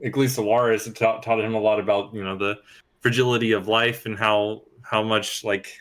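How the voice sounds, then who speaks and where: A man's voice: on the low side, little pitch movement, normal speed. A man talking casually and reflectively, in an informal, tentative manner. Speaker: podcast regular, tabletop role-playing player.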